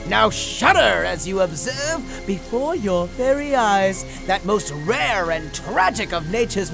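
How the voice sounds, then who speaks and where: A maniacal clown villain holds forth like a carnival barker in a radio play. His grandiose delivery is slow and swings wildly in pitch, over loud music. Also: exaggerated voice, low-quality recording. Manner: grandiose showman delivery, cackling. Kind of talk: fan radio drama acting.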